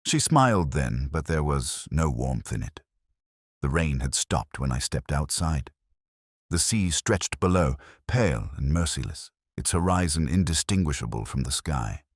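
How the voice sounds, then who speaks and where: A man playing a brooding, world-weary police inspector, narrating a case in measured, solemn, literary first person. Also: rumbling low, big pitch swings, dry recording, studio quality, heavily acted.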